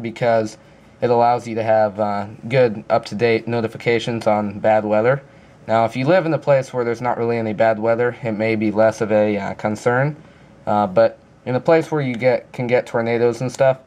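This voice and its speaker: A man's voice: lowish voice, a little mellow. A man giving a casual, informal review walkthrough in a plain-spoken explaining manner. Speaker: app reviewer.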